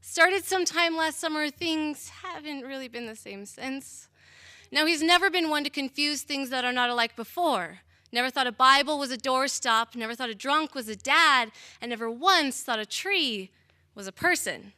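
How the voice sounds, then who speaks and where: Bright, high-pitched young woman performing poetry. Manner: dramatic, deliberate delivery with measured pauses. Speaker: slam poet. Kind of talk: spoken-word poetry performance.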